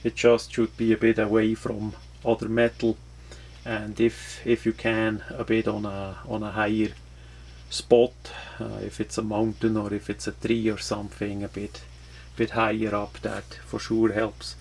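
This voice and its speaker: A man giving a casual, unscripted product walkthrough in halting, phrasing. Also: fairly low pitch, completely flat delivery, gravelly, darkish tone. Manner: halting, non-native English phrasing. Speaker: product reviewer.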